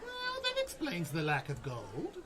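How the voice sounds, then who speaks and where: A woman's voice: on the low side, wildly swooping pitch, a little slow, a crowd in the background. A woman playing a scatterbrained, anxious gnome sorcerer in a comedy radio play, flighty and hesitant. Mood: wavering, musing.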